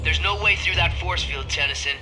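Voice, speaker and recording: An American man voicing a cocky, sarcastic, gruff tough teenage boy in a video game, with loud music behind. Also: a little high, a little fast, lightly acted. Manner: sardonic, gruff.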